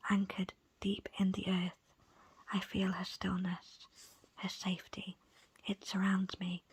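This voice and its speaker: A woman reading a book aloud as ASMR in a soft, breathy, unvoiced whisper, with deliberate pauses between short phrases. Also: mid-range voice, no room sound. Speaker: audiobook reader. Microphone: close, dry microphone.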